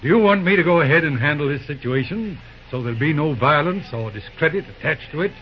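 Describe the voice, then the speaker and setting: A muffled old radio drama recording of a man playing a folksy, crafty judge. His voice sits fairly high and swings widely as he makes peace in courtly, mildly mocking phrases, stumbling now and then. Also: lively intonation, a little hiss or hum, close to an everyday voice. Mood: calm, folksy. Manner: courtly, deliberate, slightly mocking politeness. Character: folksy, wily, calm judge, a railroad town's peacemaker. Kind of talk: old-time radio western drama acting.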